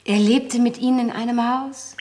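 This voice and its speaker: A woman's voice: fairly high pitch, fairly even intonation, normal speed, noisy background, close to an everyday voice. An actress playing a drily murderous, scheming genteel lady in a radio play, terse and knowing. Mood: sly, scheming. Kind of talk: German radio play acting.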